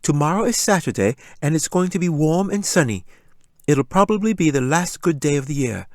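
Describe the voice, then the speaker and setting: A man voicing an excitable, eager young girl in an audiobook story. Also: fairly high pitch, a little fast, no echo, clean studio sound, pitched above the performer's own voice. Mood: eager pleading.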